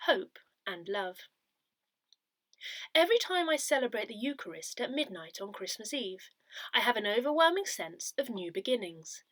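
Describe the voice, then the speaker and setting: Woman reading with very lively intonation. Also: mid-range voice, dry recording. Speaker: reader of an audio parish newsletter. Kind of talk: scripted narration, read-aloud articles.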